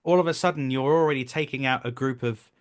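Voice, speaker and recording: A man with a medium-pitched voice talks casually as a guest on a show. He is earnest and emphatic, often repeating a phrase to drive it home. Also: fast. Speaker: guest, voice actor. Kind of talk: casual interview conversation.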